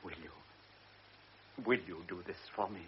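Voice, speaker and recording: An actor playing a frail, anxious elderly baron who is a devoted father. He speaks earnestly, stammers and drops into hushed tones. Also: low voice, slow pace. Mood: pleading. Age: older. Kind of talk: classic radio drama acting. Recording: lo-fi radio recording with faint hiss.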